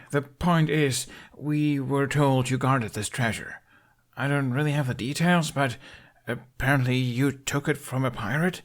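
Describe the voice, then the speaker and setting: A man's voice: medium pitch, voice pushed up, natural voice. A man voicing a nervous, well-meaning boy in an audiobook, hesitant and apologetic as he stammers along. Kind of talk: audiobook character voice.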